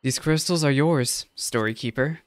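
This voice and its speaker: A man's voice: mid-range voice, moderate pace, no room sound, close to an everyday voice. A man voicing a stern, exasperated tavern keeper in a game, dry and scolding.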